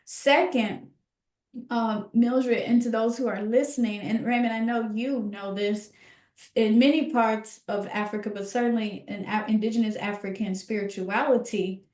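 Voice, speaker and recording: A woman with a higher-than-average voice speaks in a roomy, echoing space. She talks like a scholar co-hosting a podcast, reflective and conversational. Her delivery is emphatic and halting, with words repeated to drive points home. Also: steady tone, a little mellow.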